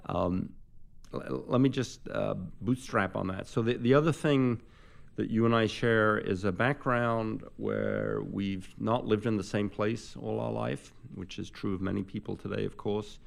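Man, older, gravelly voice, low pitch, halting, interviewer. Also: fairly even intonation. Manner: halting, many fillers and restarts. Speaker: interviewer, professor. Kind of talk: conversational interview.

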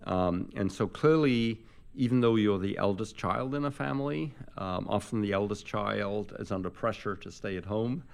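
Older male interviewer, gravelly and low, hesitant delivery full of ums and restarts. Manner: halting, many fillers and restarts. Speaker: interviewer, professor. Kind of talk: conversational interview.

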